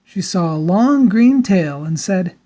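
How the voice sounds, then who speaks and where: A woman narrating a children's storybook aloud in a dramatic, theatrical style with deliberate emphasis. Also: on the low side, animated, mellow.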